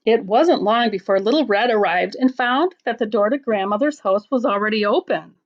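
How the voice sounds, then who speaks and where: A woman teacher reading a storybook aloud to children, in simple phrases with deliberate pauses. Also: a little high, highs cut off. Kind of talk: storybook read-aloud with explanatory asides.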